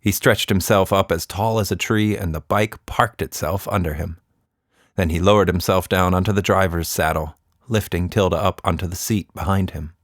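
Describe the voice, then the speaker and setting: A man narrating a scripted children's story as a storyteller, in an expressive, deliberate manner. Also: low-pitched, no room sound, clean studio sound.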